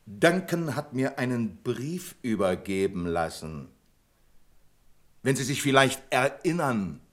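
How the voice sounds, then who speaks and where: Casting: exasperated branch manager, biting sarcasm, medium pitch, radio play delivery. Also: male voice, big pitch swings, slow pace, fairly bright tone. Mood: sarcastic. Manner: indignant, sarcastic, dramatic emphasis. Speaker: radio drama actor. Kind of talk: German radio play acting.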